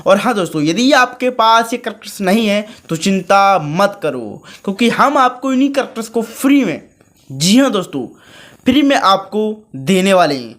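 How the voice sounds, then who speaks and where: A man's voice: sits high, big pitch swings, normal speed, fairly bright tone. Male how-to presenter, chummy and direct, explaining on-screen steps. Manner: chummy, addresses viewers as friends and brothers. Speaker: tutorial channel host. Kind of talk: screen-recorded tutorial commentary.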